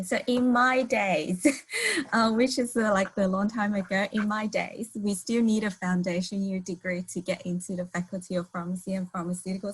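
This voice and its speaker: A woman's voice: mid-range voice, breathy edge, rough recording. A woman from southern China speaking casually over a video call, often restarting her sentences. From Guangzhou, China. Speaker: alumni speaker. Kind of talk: online alumni talk.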